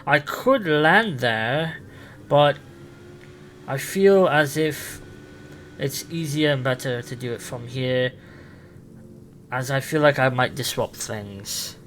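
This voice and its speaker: A man playing a quirky time-travelling adventurer and helpful guide, halting as he thinks aloud in improvised role-play. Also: medium pitch, a bit bright, slight background noise. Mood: friendly, musing.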